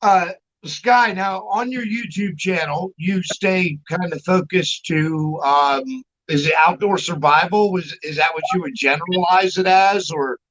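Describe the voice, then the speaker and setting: A man hosting a casual live show, talking informally and haltingly. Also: high voice, a bit bright. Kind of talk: casual livestream interview. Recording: dull, muffled livestream audio.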